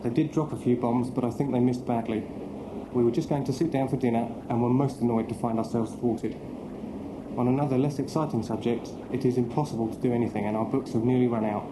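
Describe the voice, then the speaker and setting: An actor playing a cheerful young British naval sub-lieutenant with an English accent, reading a letter home in a chatty, understated way. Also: male voice, medium pitch, steady tone, fast talker, echoey room, background noise, natural voice.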